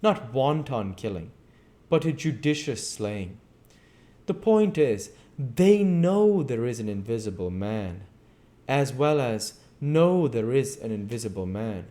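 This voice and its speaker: A man's voice: medium pitch, very animated, slow pace, dark tone, pitched above the performer's own voice. A man voicing a fanatical, megalomaniac schemer in a storybook reading, with emphatic, declamatory delivery. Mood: menacing, commanding.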